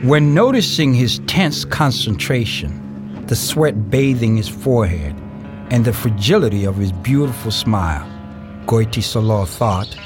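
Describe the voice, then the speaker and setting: A man reading scripted narration for an audio play in a measured storytelling way. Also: medium pitch, lively intonation. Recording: music behind the voice. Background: music.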